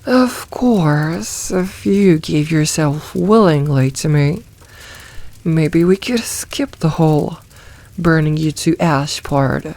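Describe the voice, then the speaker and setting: A woman voicing a possessive, teasing, dominant demoness in a soft, intimate ASMR roleplay, commanding and deliberate. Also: deep voice, heavily acted. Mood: threatening, coaxing.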